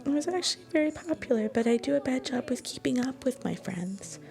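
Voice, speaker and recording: Woman acting as a shy sweet college girlfriend, high soft breathy voice, mellow n a bit creaky, hesitant whispery asmr roleplay. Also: animated, a little fast. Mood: soft, confiding. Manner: hesitant, gentle, intimate. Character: shy, sweet, affectionate young Japanese university student girlfriend.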